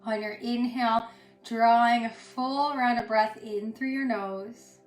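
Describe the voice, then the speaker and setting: A woman teaching yoga and guided meditation in a soothing, unhurried way, pausing between short phrases. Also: fairly high pitch, fairly even intonation. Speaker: yoga instructor. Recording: roomy echo with music underneath. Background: music.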